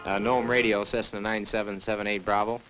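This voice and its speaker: A man making halting, procedural aviation radio calls as a small-plane pilot in 1960. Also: fairly low pitch, flat delivery, a bit bright, soft background music. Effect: two-way radio. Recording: dull, noisy 1960 aircraft radio sound.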